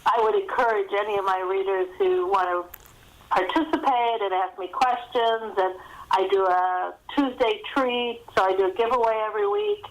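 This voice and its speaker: A woman's voice: medium pitch, lively intonation, moderate pace, poor audio. A woman speaking as a guest author in a casual podcast interview, chatty and self-deprecating.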